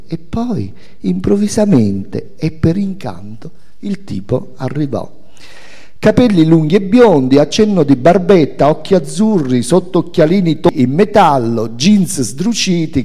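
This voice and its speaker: A man's voice: on the high side, mellow. A man reading a memoir aloud as a literary piece, with dramatic storytelling phrasing. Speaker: reader of a memoir. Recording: reverberant hall, faint music behind. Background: faint music.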